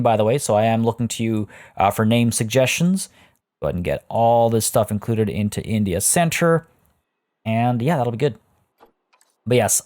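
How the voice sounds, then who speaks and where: Low-voiced man chatting through gameplay. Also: a little mellow. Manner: rambling, self-correcting, chatty with viewers. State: losing his voice. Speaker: let's play host. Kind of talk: casual gameplay commentary.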